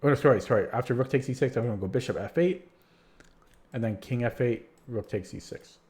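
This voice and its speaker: A man's voice: medium pitch, fairly quick, darkish tone. Tired man streaming chess puzzles. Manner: tired, rambling, many restarts and fillers. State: losing his voice. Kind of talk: casual live-stream chat.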